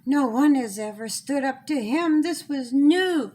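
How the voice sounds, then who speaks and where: A woman reading her own rhyming children's story in a deliberate, singsong storytelling manner. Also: high-pitched, animated, dark tone.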